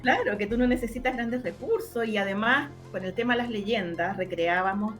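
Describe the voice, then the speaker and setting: Woman, Chilean accent, mid pitch, casual interviewee, halting repeated words, faint music behind. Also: expressive, a bit bright. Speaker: interviewee, radio drama maker.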